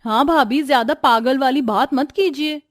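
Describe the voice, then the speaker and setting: A woman reader acts a spoiled young sister-in-law in a household drama storybook. The voice is pitched up and close, with a demanding, mocking tone that orders people about. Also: normal speed, no echo, close to an everyday voice. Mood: snippy. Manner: snippy, demanding.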